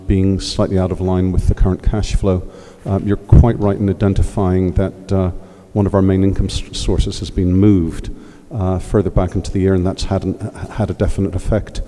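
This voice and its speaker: A man giving a formal financial report at a meeting, answering questions in a measured, hesitant way with many fillers. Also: low-pitched, mellow. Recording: reverberant hall.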